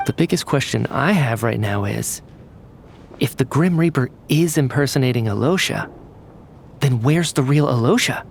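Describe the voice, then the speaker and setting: A man playing a sharp young male investigator in a game dub, speaking precisely with a probing, serious manner. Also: mid-range voice, lively intonation, normal speed, gravelly, slight background noise. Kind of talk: dramatic game dub acting.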